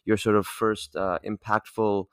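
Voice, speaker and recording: Low-voiced friendly male host, dry sound. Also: flat delivery, unhurried, clean tone, no echo. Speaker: podcast host. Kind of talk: casual interview hosting.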